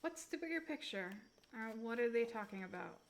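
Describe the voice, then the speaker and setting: A woman's voice: a little high, lively intonation, brisk. A young woman reading tarot on a live stream, rambling and giggling as she chats straight to her viewers; English is not her first language.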